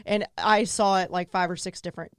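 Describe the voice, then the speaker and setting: A woman's voice: on the low side, steady tone, brisk, no room sound, studio quality. A woman voice actor chatting casually on a podcast, trailing off as she recalls things. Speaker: voice actor guest. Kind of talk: casual chat.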